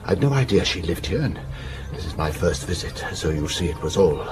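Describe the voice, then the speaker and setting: An actor playing an anxious, asthmatic businessman in his fifties in a radio drama, halting, earnest and short of breath. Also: deep voice, expressive. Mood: nervous, polite. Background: music.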